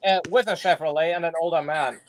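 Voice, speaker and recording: A man's voice: fairly high pitch, fast, noisy background. A man hosting a casual livestream, joking and rambling with plenty of fillers. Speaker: livestream host.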